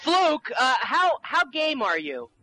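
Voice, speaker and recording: A man voicing a squeaky-voiced young inventor who stammers and gets flustered, in a home-taped comedy sketch. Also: wildly swooping pitch, takes it slowly, over loud music, full character voice. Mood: coaxing. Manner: sputtering, stammering, easily flustered.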